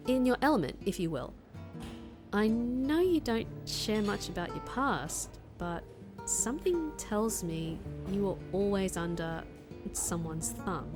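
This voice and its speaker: A woman's voice: medium pitch, big pitch swings, unhurried, music in the background. An actress playing a perceptive woman in an audio drama, sincere and laid-back with a teasing edge. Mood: sincere, curious. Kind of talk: acted audio drama dialogue.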